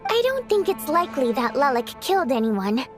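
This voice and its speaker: An actress playing a lively, chatty young woman in an anime-style video game, speaking expressively. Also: high voice, normal speed, music in the background. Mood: earnest, defensive. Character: lively young woman, secret member of underground group.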